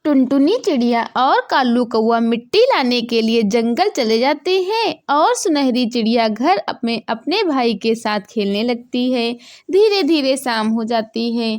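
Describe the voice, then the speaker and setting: A woman's voice: a little high, lively intonation, unhurried. A woman narrating a scripted children's story.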